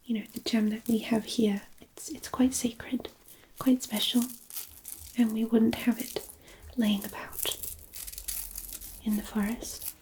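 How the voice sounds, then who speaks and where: A woman playing a gentle, kindly forest fairy in a soothing fantasy ASMR roleplay, murmuring softly and reassuringly. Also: a little high, level tone, slow, a little hiss or hum, low-quality recording. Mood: hushed, puzzled.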